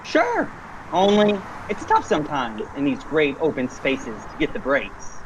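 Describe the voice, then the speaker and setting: A woman's voice: deep voice, wildly swooping pitch, measured pace, heavily acted. A woman playing a breezy, grateful hitchhiker in an amateur radio play, with a folksy, slangy way of talking, over car noise. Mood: relieved, chatty. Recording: noisy, car engine sound. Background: car engine noise.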